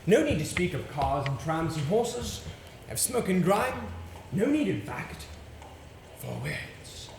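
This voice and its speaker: A man acting on stage as a brisk, pompous theatrical actor who coaches a nervous client with emphatic commands. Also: on the high side, sing-song, creaky, full character voice. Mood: grand, showy. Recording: roomy, noisy live stage recording.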